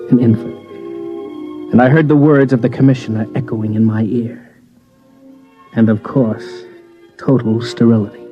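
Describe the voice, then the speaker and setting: A man's voice: lowish voice, natural voice. An American man playing a hard-bitten newspaper reporter, narrating his story in a terse, wry 1950s radio drama style. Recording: muffled old radio recording, faint music.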